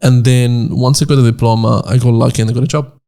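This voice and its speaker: Man, twenties, from Nicaragua, gravelly, mellow tone, rambling podcast chat. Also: mid-range voice, fairly even intonation, fast talker. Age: 20s. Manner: rambling, repeats words while searching for them. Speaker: podcast co-host. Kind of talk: casual chat.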